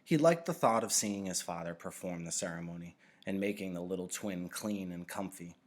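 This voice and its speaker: A man with a low-pitched voice reads a novel aloud. He narrates it as a storybook, in the role of a teacher reading to students. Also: normal speed.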